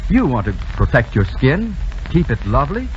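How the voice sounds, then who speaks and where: A man's voice: mid-range voice, sing-song, normal speed, darkish tone. A man reading scripted advertising copy as a 1930s radio announcer. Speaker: commercial announcer. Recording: muffled, hissy 1930s radio broadcast.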